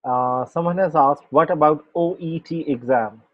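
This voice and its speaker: A man's voice: a little high, normal speed, dry recording, phone-quality sound. A man moderating a webinar, reading out audience questions in a brief, matter-of-fact way.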